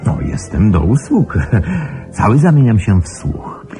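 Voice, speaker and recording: Smooth gallant flirty guy, radio play, low lively voice, playful and courtly, music behind. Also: a little slow. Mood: flirtatious, playful. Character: gallant, flirtatious, self-assured male friend of the household.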